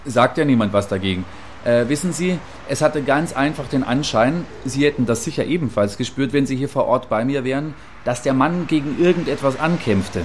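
A man's voice: mid-range voice, brisk, close to an everyday voice. An opinionated male field reporter in a radio play, acted by a man, with a mid-range voice over faint ambient noise. He muses in a hesitant but insistent way, stubborn and a little provocative.

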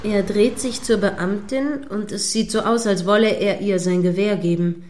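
A woman's voice: mid-range voice, steady tone, moderate pace, darkish tone, close to an everyday voice. Actress as female news reporter, indignant, judgmental, dramatic live commentary, radio play. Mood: tense, disapproving.

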